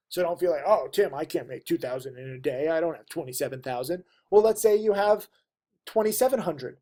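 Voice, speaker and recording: Stuffy man with a dark voice. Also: high-pitched. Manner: emphatic, pleading. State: stuffy, losing his voice. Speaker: trading teacher. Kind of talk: direct-to-camera lesson.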